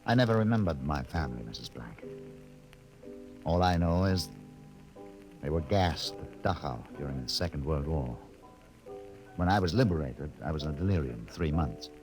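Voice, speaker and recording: An actor playing a somber, detached middle-aged doctor with a halting, deliberate delivery in a 1950s radio drama. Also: male voice, very deep voice, animated, low-quality recording. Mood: somber, guarded. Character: somber, haunted scientist doctor, emotionally detached survivor. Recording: vintage 1950 radio recording, organ-like music behind.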